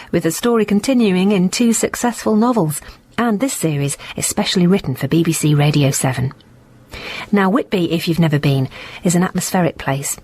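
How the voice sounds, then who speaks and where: A woman introducing a radio series as a continuity announcer, in a measured, scripted way. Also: lowish voice, darkish tone, husky.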